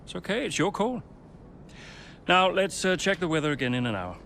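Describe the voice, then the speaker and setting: A man's voice: sits high, sing-song, brisk, creaky, a bit bright, a little hiss or hum, exaggerated voice. A man playing an earnest, harried racing team colleague in a video game cutscene, firm but placating. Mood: businesslike, wary.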